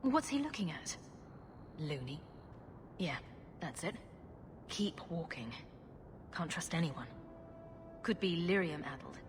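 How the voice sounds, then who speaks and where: A dry, sarcastic young rogue who mutters to herself. Her voice sits low for a woman, with game music playing behind. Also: lively intonation, takes it slowly, long pauses. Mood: muttering.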